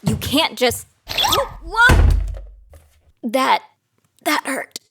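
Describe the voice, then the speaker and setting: A woman's voice: high voice, big pitch swings, extremely slow, exaggerated voice. A woman playing a determined young female trainee who tells her own story in an audio drama, in scripted first person. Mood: indignant, pained. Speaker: narrator and lead character of an audio drama. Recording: studio voice over prominent music.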